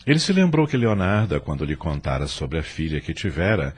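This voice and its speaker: A man's voice: very deep voice, very animated, fairly quick, slightly rough. A man narrating an audio novela in a dramatic scripted storytelling style.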